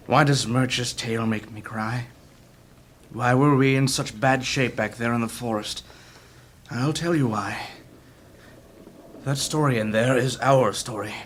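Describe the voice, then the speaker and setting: Old male adventurer for fantasy audio drama, played by a man: emotional, haunted, grave, medium register, halting delivery. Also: mid-range voice, a little hiss or hum, natural voice. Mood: anguished.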